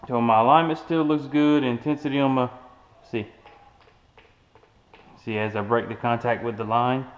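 A man's voice: medium pitch, fairly even intonation, moderate pace, long reverb tail, dull audio. A young man casually demonstrating a homemade electronics build at home, explaining loosely and thinking aloud as he goes. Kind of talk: casual demo narration. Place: living room at home, tiled floor. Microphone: action camera on the room.

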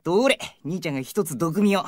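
A woman's voice: lowish voice, expressive, fast, a little hiss or hum, lightly acted. A woman playing a teasing, greedy, hapless teenage big brother in an anime-style audio drama, boyish and exaggerated. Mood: curious, teasing. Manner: boyish, casual, comically exaggerated.

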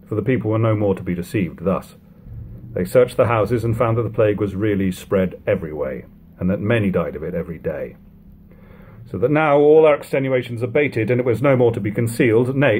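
A man in his thirties reading a classic novel aloud at home, in a measured, steady way, letting sentence ends fall quiet. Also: mid-range voice, breathy edge. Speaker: audiobook reader. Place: living room at home.

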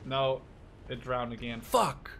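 A young man giving casual, profanity-laden gameplay commentary, excitable and often talking to himself as he plays. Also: mid-range voice, slow pace, vocal fry, a little hiss or hum. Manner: excitable, swears freely, talks to himself. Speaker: gaming YouTuber. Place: small room at home.

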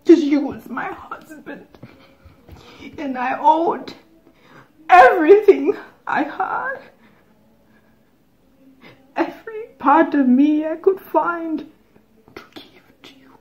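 A woman performing a dramatic monologue as an anguished, long-suffering wife, moving between whispers and loud outbursts on a lo-fi recording. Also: high-pitched, lively intonation, slow pace, long pauses, heavily acted. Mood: grieving, fierce.

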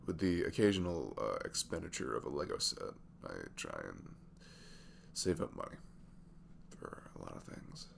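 A man in his twenties playing a sweet, easygoing single dad in an intimate ASMR audio roleplay, chatting haltingly in a velvety voice. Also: sing-song, slight background noise, heavily acted. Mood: candid, slightly sheepish. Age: 20s. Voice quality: deep, gravelly velvet voice. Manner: halting, chuckling, conversational.

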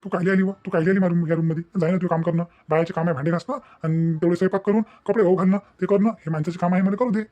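A man's voice: high voice, steady tone, very fast, few pauses, dark tone, no echo, highs cut off, natural voice. A man voicing an exasperated young village husband with a rural Vidarbha Marathi accent, blunt and impatient, in cartoon family-drama dialogue. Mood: irritated.

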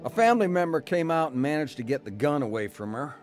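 Animated rebellious young man, music behind. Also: fairly high pitch, quick pace. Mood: reflective. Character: rebellious hard-drinking young man telling his testimony. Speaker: dramatised testimony narrator and lead. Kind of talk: radio drama narration and acting.

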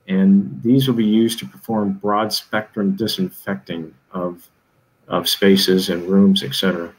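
Low-voiced man briefing on a video call. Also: little pitch movement, measured pace, rough recording. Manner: businesslike, reads lists, frequent "um" and "uh". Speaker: facilities administrator presenting an update. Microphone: video call webcam.

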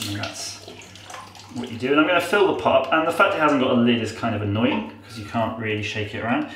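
A man's voice: mid-range voice, fairly bright tone, a little hiss or hum. A nasal-voiced man in his thirties talks loosely and jokes at his own expense as he demonstrates something in a small tiled bathroom. His voice bounces off the hard walls, and a tap runs behind him. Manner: chatty, self-mocking, rambling asides. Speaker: vlogger. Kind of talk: casual how-to demo. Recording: echoey bathroom. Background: running tap water.

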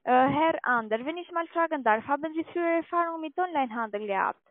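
Female telesales caller, high voice, non-native speaker with halting grammar, tinny phone line. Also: lively intonation, no echo. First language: not German. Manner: halting, ungrammatical German. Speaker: telephone sales caller. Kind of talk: cold-call sales pitch.

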